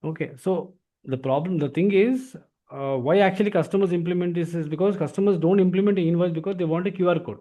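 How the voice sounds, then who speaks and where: A male instructor with an Indian accent gives a software lesson in a slightly high, mellow voice. He talks at a rapid pace and often tacks "actually" onto his phrases. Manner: repeatedly tags phrases with "actually". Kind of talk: explanatory software training lesson.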